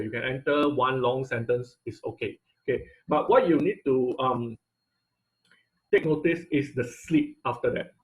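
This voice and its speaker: Guy teaching robot coding over a video call, lots of uh and okay, takes it slow step by step. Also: mid-range voice, poor audio. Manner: explains step by step, many fillers and "okay?" checks. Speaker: robotics instructor. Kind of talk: online class lecture with screen share.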